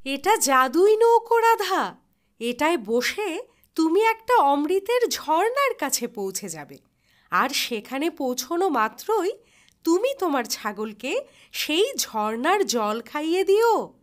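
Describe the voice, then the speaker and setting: A woman playing a kind, helpful magical fairy in a story, with a gentle, instructing manner. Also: high-pitched, big pitch swings, slow pace, full character voice.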